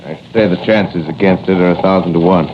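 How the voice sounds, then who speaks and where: An actor playing a grave, learned astronomy professor with a measured, pedantic and dismissive manner in a 1930s radio drama interview. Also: male voice, low voice, steady tone, close to an everyday voice. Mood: dry, assured. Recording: muffled, noisy 1930s radio recording.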